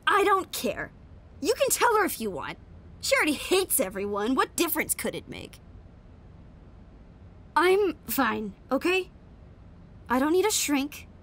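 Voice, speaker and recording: An actress playing a defiant, bitter, guarded young staff member in an audio drama, snappy and defensive. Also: female voice, high voice, fairly bright tone, full character voice.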